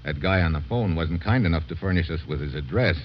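A man's voice: sits low, animated, fast talker. An actor playing a hard-boiled, slangy American male investigator in an old radio drama. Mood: wry, determined. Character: tough, plain-spoken American male investigator. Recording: dull, noisy old radio recording.

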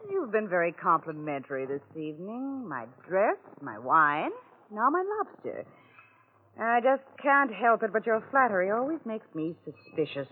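A frank, controlling heiress in a 1940s radio drama. Her mid-pitched voice moves expressively with dry, knowing crispness. The recording is thin and narrow like old radio. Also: sing-song, moderate pace. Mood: dryly amused. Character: shrewd, plain-spoken, controlling wealthy bride-to-be. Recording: tinny vintage radio recording.

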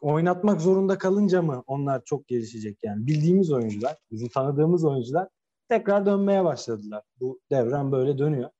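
Mellow-voiced man arguing football, dull recording. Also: a little high, lively intonation, a little fast. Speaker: football podcast co-host. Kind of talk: casual opinionated football discussion. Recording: dull, lo-fi sound.

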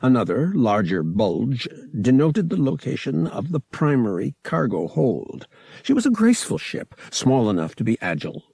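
A man reading a science fiction novel aloud as an audiobook narrator, with expressive storytelling. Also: medium pitch, moderate pace, gravelly, breathy edge, no room sound. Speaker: audiobook reader.

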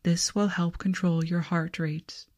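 A woman voicing a calm, politely condescending alien researcher in a slow, soft, breathy whisper for an ASMR roleplay. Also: fairly low pitch, natural voice. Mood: soothing, detached. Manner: slow, soothing, formal, clinically detached.

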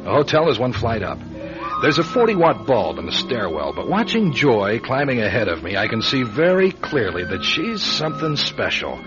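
An actor playing a wry, deadpan private eye from Chicago in an old-time radio detective drama. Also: male voice, medium pitch, normal speed, natural voice. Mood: wry narration. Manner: dry, deadpan wisecracks. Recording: dull radio recording with music behind.

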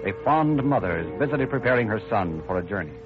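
A man's voice: lowish voice, animated, fast talker. A man working as a 1930s-style radio announcer, delivering scripted narration with dramatic emphasis. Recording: muffled 1930s radio recording with music.

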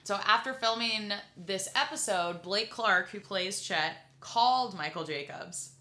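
Bright, giggly woman in an echoey room. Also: medium pitch. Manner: giggly, upbeat, talks quickly in bursts. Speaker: podcast co-host. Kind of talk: casual chat.

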